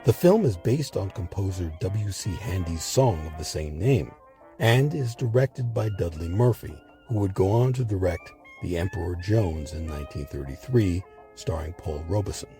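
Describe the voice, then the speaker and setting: A man hosting a history series, reading scripted documentary narration with measured, emphatic phrasing. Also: low-pitched, lively intonation, mellow, soft background music.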